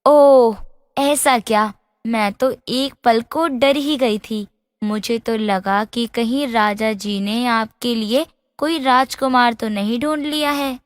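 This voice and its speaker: A woman's voice: a little high, fairly even intonation, takes it slowly, dry recording, clean studio sound, long reverb tail. A woman playing a princess's loyal young friend in a fairy tale, forthright and protective. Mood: surprised.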